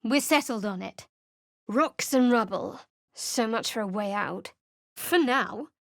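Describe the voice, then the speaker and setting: A guarded, sharp-tongued young woman adventurer for a video game. She talks in a dry, clipped, sardonic way, in a fairly high voice. The studio sound is close and completely dry. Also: takes it slowly, creaky, dry recording. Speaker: companion character.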